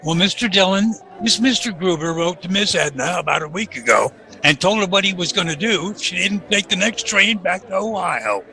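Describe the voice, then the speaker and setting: Bright-voiced, earnest Western deputy. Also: male voice, high voice, expressive, normal speed, few pauses, exaggerated voice. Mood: earnest, dutiful. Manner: folksy, deferential, formal address. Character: earnest, dutiful, lovestruck deputy marshal's assistant in old West. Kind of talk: old-time radio western acting. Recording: music and crowd murmur behind.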